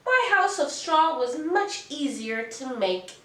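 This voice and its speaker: A woman's voice: high voice, big pitch swings, slow pace, pushed and bright, voice pushed up, heavily acted. A woman voicing little pig brothers in a children's storybook, speaking emphatically and with spirit. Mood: lightly boastful. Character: little male pigs, defiant and excitable storybook brothers. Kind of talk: children's storybook read-aloud. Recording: echoey room, distant mic.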